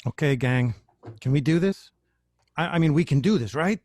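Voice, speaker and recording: A man's voice: a little high, expressive, normal speed, darkish tone. A man playing an anxious, defeatist young band frontman in a table read, with a nervous manner.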